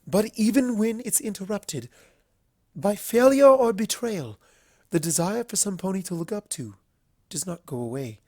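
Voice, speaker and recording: Woman doing a refined lady unicorn from a storybook, pitched up high, formal n eloquent, solemn, really emotive, dry close sound. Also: lively intonation, normal speed, warm tone, dry recording, lightly acted. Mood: pained. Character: refined female unicorn pony, earnest, solemn, impassioned.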